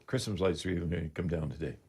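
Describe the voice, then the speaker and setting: An older man with a Canadian accent hosting a radio talk show in a folksy, halting, unscripted way. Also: deep voice, gravelly, darkish tone, breathy edge.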